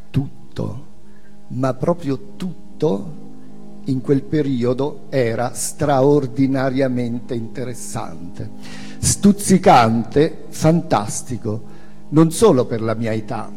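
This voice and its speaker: A man's voice: mid-range voice, warm tone. A man giving a theatrical reading of an autobiographical narrative. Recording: long hall reverb, music behind. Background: music.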